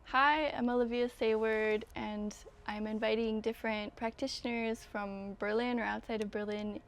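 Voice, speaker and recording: A woman hosting a conversation, introducing her guest and asking gentle, thoughtful questions. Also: fairly high pitch, steady tone, normal speed. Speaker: podcast host. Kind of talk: interview hosting.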